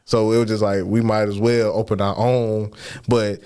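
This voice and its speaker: A man, a rapper guest talking in a relaxed, halting way with many restarts. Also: smooth. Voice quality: deep voice. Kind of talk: casual interview chat.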